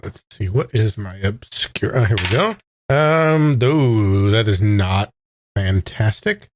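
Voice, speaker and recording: A man's voice: on the low side, animated, slow, dry recording, studio quality. A man casually narrating his character's actions as a player in a tabletop roleplaying game.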